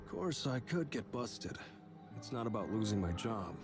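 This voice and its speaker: An unscrupulous, morbid morgue worker for a visual novel. The voice is on the low side, terse and deadpan. Music is clearly audible behind it. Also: male voice, normal speed. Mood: casual, morbid. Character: morbid, unscrupulous male morgue worker, laid-back admirer of killer.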